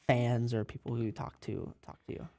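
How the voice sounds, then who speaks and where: A man's voice: mid-range voice, little pitch movement, moderate pace, vocal fry, darkish tone. A man hosting a casual podcast interview, asking long rambling questions and laughing often. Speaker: interview host.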